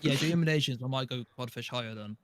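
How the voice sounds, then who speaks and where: A man's voice: mid-range voice, racing. A man chatting casually as a podcast panelist. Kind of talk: casual chat.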